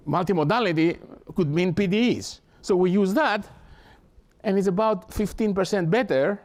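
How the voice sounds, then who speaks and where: Greek-accented professor, halting and earnest. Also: male voice, high voice, darkish tone. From Greece. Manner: earnest, halting, with frequent restarts and repeated words. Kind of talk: academic talk. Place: lecture hall.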